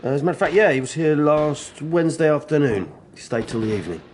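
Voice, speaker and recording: A man plays an offhand gun club official in a radio drama. He talks fast, casual and blunt but obliging, with faint noise behind him. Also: on the high side, lively intonation, a little mellow, lightly acted. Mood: helpful, blunt. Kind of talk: naturalistic radio drama acting.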